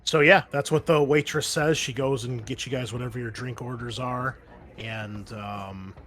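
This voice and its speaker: A man running a tabletop roleplaying game as game master, narrating off the cuff in a halting, conversational way. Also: medium pitch, steady tone, a bit bright. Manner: halting, conversational, thinking aloud. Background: background music.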